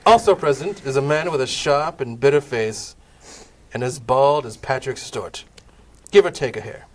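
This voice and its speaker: A man's voice: medium pitch, normal speed. A man narrating a comic table read of a Christmas play, wry in tone. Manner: wry, laughs at the cast.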